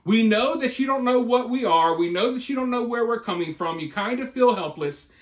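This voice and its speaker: A man's voice: very high-pitched, lively intonation, brisk. A man hosting an opinionated news commentary, speaking emphatically with repeated points and rhetorical questions. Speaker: video host and commentator.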